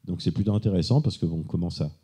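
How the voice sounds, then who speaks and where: A man's voice: deep voice, fast talker, dark tone, breathy edge. A man giving an unscripted technical presentation as a conference speaker, explaining informally with some hesitation.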